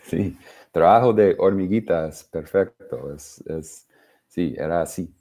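Slow, halting man with a deep voice. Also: mellow, muffled recording. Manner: halting, searching for words, many fillers. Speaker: singer-songwriter guest. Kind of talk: interview answers.

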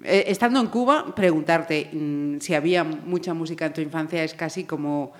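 A woman hosting a conversational interview, hesitant and often pausing to search for her words. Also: sits low. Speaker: interview show host.

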